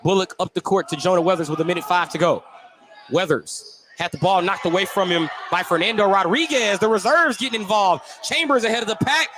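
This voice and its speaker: High-pitched male basketball play-by-play announcer. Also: fairly quick. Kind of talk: live sports commentary. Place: indoor basketball gym. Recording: light gym noise behind the commentary. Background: gym ambience.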